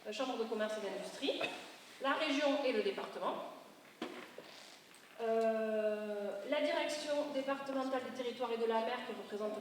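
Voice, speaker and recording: A woman's voice: fairly high pitch, moderate pace. A woman giving an explanatory public presentation as an urban planning consultant, going step by step in a didactic way and correcting herself as she goes. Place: large reverberant meeting room, audience present. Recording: very echoey hall, distant mic, faint noise.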